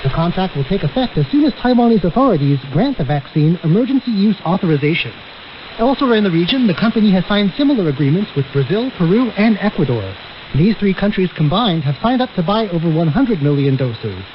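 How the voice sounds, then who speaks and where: A man's voice: high-pitched, very animated, fairly quick. A man delivering a scripted news report on shortwave radio. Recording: dull, hissy shortwave radio broadcast.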